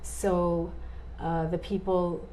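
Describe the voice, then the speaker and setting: A woman in her forties, a guest in a casual interview, talking in a halting way with many fillers and restarts. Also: fairly low pitch, level tone, dark tone, poor audio. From born in Australia, raised in India and Canada.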